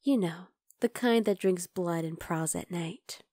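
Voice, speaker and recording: A woman's voice: on the low side, unhurried, dry recording, clean studio sound. A woman playing an obsessive, chatty vampire lab worker in an ASMR roleplay, coaxing and teasing the listener. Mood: smug, playful. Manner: conversational, teasing, coaxing, with soft asides.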